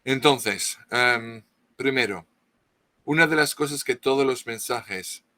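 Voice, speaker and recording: A man's voice: medium pitch, sharp tone, dry recording. A middle-aged man from France with a French accent, speaking as a guest author and lecturing at length with frequent restarts and fillers. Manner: halting, many restarts and fillers. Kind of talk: interview, lecturing.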